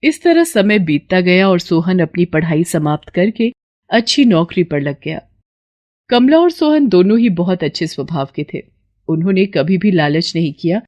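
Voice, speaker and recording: A woman's voice: fairly low pitch, a little mellow, lo-fi sound. A woman narrating a scripted moral story for children as a storyteller.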